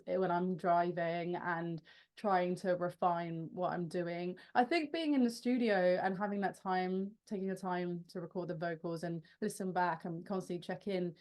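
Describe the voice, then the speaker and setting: A woman's voice: lowish voice, a little mellow. A young woman, the frontwoman of a rock band, answering interview questions in a chatty, self-deprecating way with frequent restarts and laughs.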